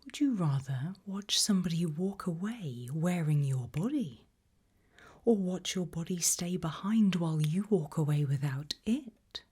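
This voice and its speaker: A woman whispering an ASMR role-play straight to the listener in a breathy, measured, quietly ominous way. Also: lowish voice, animated. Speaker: ASMR host playing a fear-test examiner.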